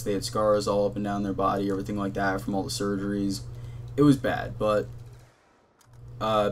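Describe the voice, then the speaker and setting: A young man with a low voice talks in a flat, monotone ramble, full of fillers and casual swearing. He is a gaming channel host chatting from his bedroom at his console. A faint hum sits behind his voice.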